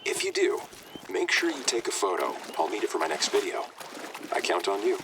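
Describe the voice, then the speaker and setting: Deep-voiced, animated, chatty game warden. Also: male voice, brisk, recorded from a distance, noisy background. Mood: friendly, informative. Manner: chatty, informal, explanatory. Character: friendly, chatty game warden, playful prankster and guide. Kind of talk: scripted video game dialogue.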